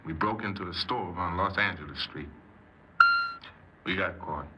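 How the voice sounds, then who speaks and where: An actor playing a troubled, defensive criminal confessing in a 1950s radio crime drama, speaking earnestly and haltingly as a proud hypnoanalyst. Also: male voice, low-pitched, natural voice. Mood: regretful. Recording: very noisy, muffled 1950s radio recording.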